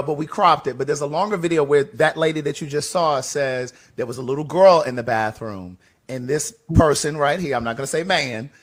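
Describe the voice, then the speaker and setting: A man on a casual podcast explaining his view earnestly and in a measured way. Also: on the high side, a bit bright. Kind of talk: casual opinion chat.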